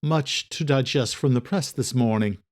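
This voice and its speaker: A composed older doctor from an audio thriller, voiced by a male narrator. He has a medium-pitched voice and speaks formally, courteous and unhurried. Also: natural voice. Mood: weary, polite.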